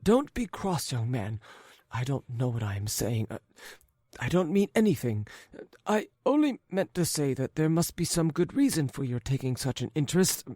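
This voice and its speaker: A man voicing a forgetful, rambling old gentleman who coughs and talks in a wheedling, quavering chant. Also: sing-song, no echo, clean studio sound. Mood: flustered. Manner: high, quavering, drawn-out chant.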